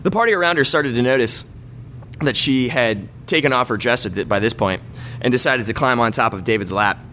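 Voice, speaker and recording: A man's voice: medium pitch, fairly quick, pushed and bright, slight background noise. A man doing a live reading of a bawdy comic story, stumbling over words and breaking into laughter now and then. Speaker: comedy troupe member reading own story.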